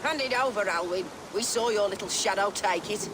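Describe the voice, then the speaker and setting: An actor playing a menacing, blunt street thug in a video game. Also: male voice, sits high, brisk, background noise, close to an everyday voice. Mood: threatening. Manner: threatening, blunt. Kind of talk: video game cutscene acting.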